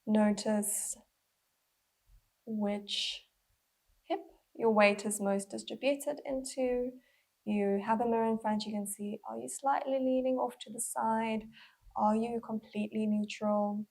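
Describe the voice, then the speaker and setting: Breathy, husky woman guiding a body scan. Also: a little high, fairly even intonation. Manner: gentle, unhurried guiding with pauses. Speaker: mindful movement instructor. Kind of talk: guided body scan meditation.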